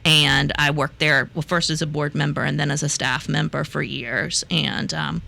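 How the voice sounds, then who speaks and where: Gravelly, bright-voiced woman from West Virginia. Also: sits low, little pitch movement, normal speed. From West Virginia, USA. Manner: chatty, laughs easily, many fillers and restarts. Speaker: guest, reproductive rights activist. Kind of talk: casual interview talk.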